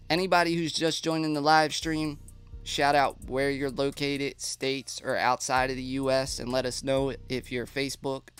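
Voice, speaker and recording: A man's voice: mid-range voice, fairly bright tone, smooth. A man with a stuffy nose hosting a casual live stream, chatting and reading viewer comments aloud.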